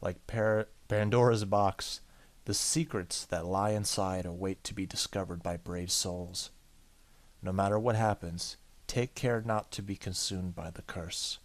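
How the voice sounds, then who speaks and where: A man's voice: low voice, fairly even intonation, a little slow. A man hosting a game playthrough, casually commenting and reading text aloud, sometimes tripping over words, with game music behind him. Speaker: let's play host.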